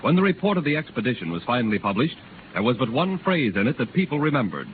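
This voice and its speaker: A man's voice: medium pitch, sing-song, fast. A male announcer narrates in grand 1930s radio style with widely swinging intonation. The recording is tinny and noisy, like old broadcasts. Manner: grand, declamatory.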